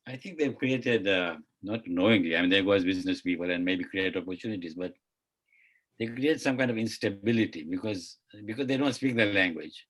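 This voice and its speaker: A man's voice: sits low, fairly quick, fairly bright tone, low-quality recording. A man speaking as a panelist in an online discussion, reflective and hedging, often trailing off mid-thought. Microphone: video call.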